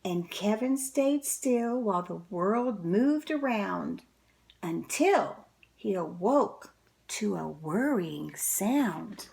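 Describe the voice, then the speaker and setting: Female storybook reader, teacher type: very unhurried, lively swooping pitch, touches of creak, dramatic delivery. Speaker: teacher reading a picture book to children. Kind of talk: expressive storybook read-aloud.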